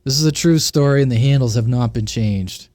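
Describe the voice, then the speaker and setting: A man's voice: medium pitch, fairly quick, a little mellow, dry recording. A man narrating a scripted comic short story in a folksy, playful way. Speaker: narrator of CB radio short stories.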